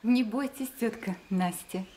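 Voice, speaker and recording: A woman's voice: fairly high pitch, unhurried, mellow, a little hiss or hum, natural voice. An actress playing a cheeky, sentimental young village girl in a radio drama, girlish and playful.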